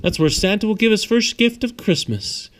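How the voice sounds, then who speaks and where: A man's voice: high voice, expressive, normal speed, pitched above the performer's own voice. A man voicing a hearty train conductor calling out to children in a storybook, with projected, declarative lines. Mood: announcing.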